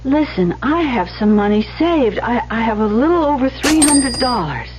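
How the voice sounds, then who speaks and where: An actress playing a shy, lonely, devoted assistant in love in an old radio drama, with a hesitant, earnest delivery. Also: female voice, on the high side, very animated, moderate pace, a little mellow. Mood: anxious, pleading. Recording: dull, hissy vintage radio recording with hum.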